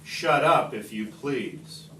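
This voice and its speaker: A man's voice: medium pitch, slow, sharp tone. A man reading as a brash, greedy storybook businessman, brusque, bossy and dismissive. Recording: distant mic, echoey room, background noise.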